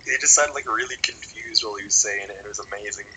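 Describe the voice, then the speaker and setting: A man's voice: lowish voice, quick pace, heavy background noise. A young man with a Scottish accent, joking and swearing in a casual podcast chat as co-host. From Scotland. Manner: joking, laughs often, swears freely.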